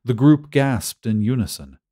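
A man's voice: mid-range voice, measured pace, mellow. A man reading a scripted short story as an audiobook narrator.

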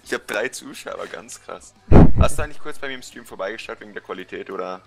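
Deep-voiced young streamer, slangy. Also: male voice, animated, fast, gravelly, over loud music. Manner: slangy, joking, swears and laughs. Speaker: game streamer. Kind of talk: casual gaming stream chat.

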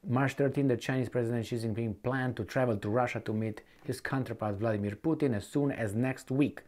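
A man's voice: mid-range voice, a little fast, a little mellow. Middle-aged man, sardonic news commentary. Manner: opinionated, sardonic, conversational with rhetorical questions. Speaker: political commentator and host. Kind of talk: off-the-cuff news commentary, reading articles aloud. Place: indoor home office or study with bookshelves.

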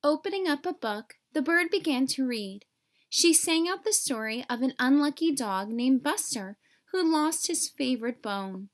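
A woman reading a children's story aloud in an expressive, carefully phrased way for young listeners. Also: high-pitched, normal speed.

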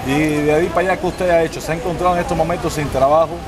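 A man's voice: fairly high pitch, fairly even intonation, racing. A man, interviewing and appealing outdoors, insistent and repetitive. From Cuba. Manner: insistent, repeats himself, gestures while talking. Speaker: interviewer and activist. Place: city plaza outdoors. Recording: outdoor street crowd noise.

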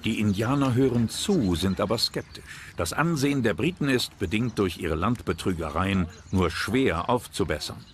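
Low-voiced male documentary narrator. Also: lively intonation, moderate pace, few pauses, slight background noise. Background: music.

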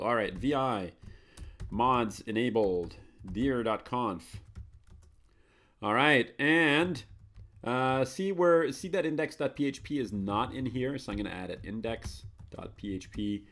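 A man's voice: lowish voice, fairly bright tone. A man hosting a live computer tutorial, chatty and self-correcting, muttering commands as he types. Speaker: host of a live Linux tutorial show. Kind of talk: live screencast tutorial, thinking aloud.

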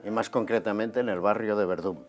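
A man with a fairly low voice, in his fifties and from Barcelona, talks easily about his past as a studio guest. His delivery is relaxed and conversational, picked up on a lapel mic, with faint music underneath. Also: brisk. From Barcelona, Spain. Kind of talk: telling his life story. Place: small TV studio set.

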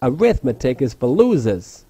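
A man's voice: medium pitch, very animated, moderate pace, warm tone, husky, dry recording. Man playing a brash, dim teenage cartoon boy. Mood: dim, puzzled. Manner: slangy, blustering. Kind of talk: parody cartoon dub.

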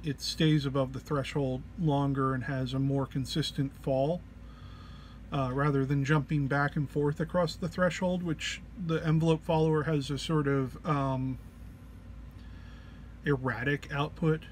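A man giving an informal gear walk-through as a demonstrator, hesitant and searching for words, sounding under the weather. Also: a little high. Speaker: musician demonstrating an effects pedal patch. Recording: clear background noise.

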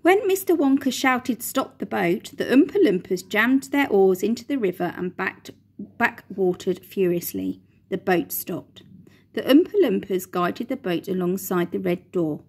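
A middle-aged woman teacher reads a children's chapter book aloud with lively expression, her voice at a medium pitch. She records on a phone held close in a large indoor room. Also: unhurried, slightly rough. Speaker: primary school teacher. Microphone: phone front camera at close range.

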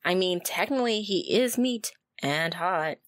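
A woman's voice: on the low side, animated, measured pace, dry recording. A woman playing a wry young demon student, casual, teasing and protective, in an audio roleplay. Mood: playful, teasing. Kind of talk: ASMR audio roleplay acting.

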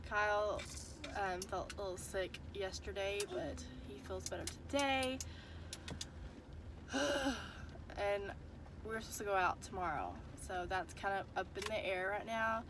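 A woman's voice: medium pitch, a little slow, background noise. Congested young woman vlogging from a car. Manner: chatty, rambling, tired. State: congested from a cold. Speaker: family vlogger. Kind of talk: casual chat to camera. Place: inside a parked car. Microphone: phone camera at arm's length.

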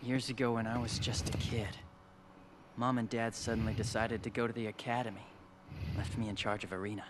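An actor playing a brash, protective young adventurer and devoted brother in a video game, with a casual, blunt, youthful manner. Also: male voice, fairly low pitch, flat delivery, brisk, noisy background, lightly acted. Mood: subdued, regretful. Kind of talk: video game cutscene acting.

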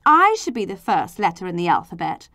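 A woman's voice: fairly low pitch, big pitch swings, a little fast, sharp tone, no room sound, clean studio sound, exaggerated voice. A woman voicing a vain, boastful, pushy letter character in a children's storybook. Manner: boastful, self-important.

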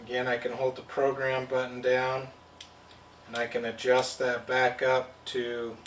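A man's voice: medium pitch, completely flat delivery, a little slow, recorded from a distance, a little hiss or hum. Man demoing a circuit board, step-by-step explaining, roomy room, a bit off-mic. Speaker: product demonstrator. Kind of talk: hands-on product demo. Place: indoor studio at a table.

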